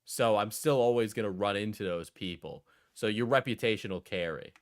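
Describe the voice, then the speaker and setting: A man's voice: fairly low pitch, moderate pace, dry recording. Blunt, mocking male commentator, close dry sound. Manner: blunt, mocking, punctuates points with "right?". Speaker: commentator reacting to an interview. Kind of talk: casual opinionated commentary with heavy swearing.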